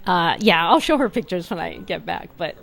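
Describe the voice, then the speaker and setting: A woman's voice: on the low side, animated, normal speed, creaky, fairly bright tone. A woman from California, an artist being interviewed at her art fair booth, talking casually with fillers and frequent laughs. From California, USA. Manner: chatty, laughs often, uses fillers like "um" and "like". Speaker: artist, guest.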